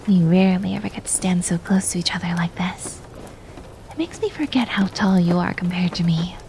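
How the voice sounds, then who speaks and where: A woman's voice: on the low side, a little fast, a little hiss or hum, lightly acted. A woman playing a prim, caring twin maid in an ASMR roleplay, often whispering, with formal wording and a teasing, easily flustered temper. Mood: tender, soothing. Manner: soft, formal wording, stammers when embarrassed.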